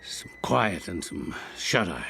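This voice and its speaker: A worn-out old west outlaw in a radio play, terse and rustic, sharp voice, lively pitch. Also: male voice, takes it slowly, creaky, heavily acted. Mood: weary, dazed. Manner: terse, rustic cowboy talk. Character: weary, bewildered, defiant Old West outlaw lost in modern city. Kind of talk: radio drama acting. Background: background music.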